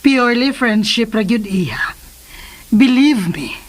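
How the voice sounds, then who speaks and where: A middle-aged Filipina auntie in a radio serial. She is motherly and concerned, her high voice swooping animatedly up and down. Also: animated, slow. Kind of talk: radio drama acting.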